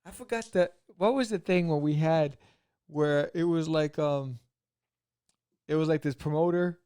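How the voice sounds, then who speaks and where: A man co-hosting a podcast, tired after a long day, speaking in a low-key, hesitant way that trails off. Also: unhurried, dark tone, clear, resonant tone. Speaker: podcast co-host. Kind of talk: casual chat.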